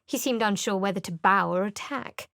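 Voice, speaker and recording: A woman playing a guarded, wry, secretive young cleric adventurer in a fantasy video game, with a cool, measured, sardonic delivery. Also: mid-range voice, gravelly, a bit bright, dry recording, studio quality. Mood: measured, hushed.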